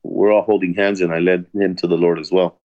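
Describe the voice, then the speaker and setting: A man's voice: low-pitched, level tone, brisk, a little mellow, highs cut off. A man telling his own story of prison in an earnest, plain way, with restarts. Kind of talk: personal testimony interview.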